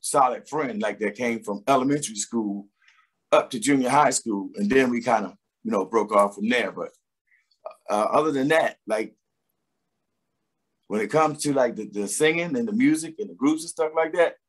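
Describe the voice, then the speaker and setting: Um homem de meia-idade com sotaque da Filadélfia, falando numa conversa descontraída por videochamada, com muitas pausas e hesitações. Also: male voice, mid-range voice. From Philadelphia, USA. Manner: relaxed, rambling, many fillers and restarts. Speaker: singer and guest. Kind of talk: casual interview chat. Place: home room with a door behind. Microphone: video call webcam.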